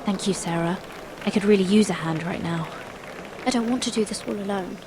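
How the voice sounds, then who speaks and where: An actress playing an earnest but resolute young noble handmaiden in a game, with guarded, measured delivery. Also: female voice, mid-range voice, background noise, close to an everyday voice. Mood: grateful, apologetic. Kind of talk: dramatic game cutscene acting.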